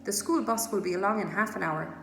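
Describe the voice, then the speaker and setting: A woman voicing a practical farmhouse mother from a storybook, brisk and commanding. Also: mid-range voice, gravelly, fairly bright tone, echoey room, slight background noise, rough recording.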